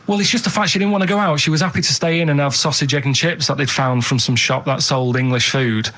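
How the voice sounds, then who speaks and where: Fast-talking grumbling man in his thirties. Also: on the high side, fairly even intonation, fairly bright tone. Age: 30s. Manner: matter-of-fact, grumbling. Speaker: radio show co-host. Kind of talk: casual anecdote and banter.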